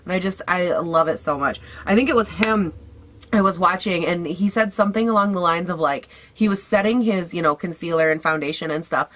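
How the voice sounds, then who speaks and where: A young woman with a raspy voice, hoarse from a cold, chatting casually and sassily through a makeup try-on at home. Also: on the low side, brisk, a bit bright. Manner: chatty, sassy, swears casually. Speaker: beauty vlogger.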